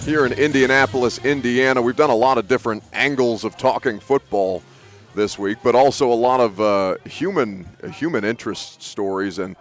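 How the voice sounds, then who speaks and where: A man's voice: mid-range voice, normal speed, faint music behind. A male sports radio host interviewing guests at a live broadcast, talking eagerly with many restarts and self-corrections. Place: studio booth at a sports event.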